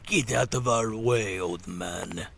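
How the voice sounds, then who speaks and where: A man playing a menacing servant of a vampire who issues slow threats. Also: on the low side, gravelly, noisy background. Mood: threatening. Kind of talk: audio drama acting.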